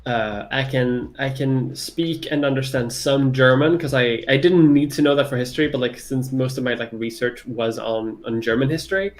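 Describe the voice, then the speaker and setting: A native Swedish speaker co-hosting a casual livestream chat in English, rambling loosely and swearing freely. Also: male voice, mid-range voice, normal speed, hardly any pauses, soft background music.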